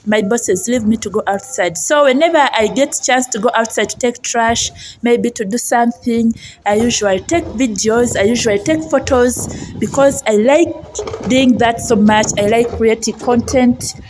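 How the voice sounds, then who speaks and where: A woman's voice: fairly high pitch, moderate pace. A woman from Uganda with a Ugandan accent talks to viewers in a chatty personal vlog, often repeating phrases for emphasis. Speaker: vlogger and housemaid. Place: outdoors on a residential street. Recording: noisy outdoor ambient sound. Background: outdoor ambience noise.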